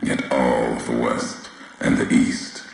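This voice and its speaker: A man voicing a brooding, vengeful wasteland messenger in a video game, speaking in solemn, measured, cryptic pronouncements with a gravelly, husky voice. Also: extremely low pitch, flat delivery, exaggerated voice. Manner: solemn, measured, cryptic oratory with weighted pauses. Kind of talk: dramatic video game character monologue.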